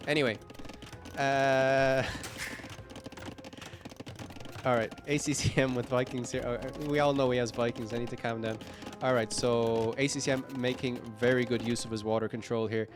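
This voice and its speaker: Male game caster, mid-pitch, croaky, casual rambling play-by-play, music underneath. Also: steady tone, normal speed. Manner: rambling and upbeat, frequent "uh" fillers, self-corrections. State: croaky, tired voice late in a long stream. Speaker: esports caster. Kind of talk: live play-by-play game commentary.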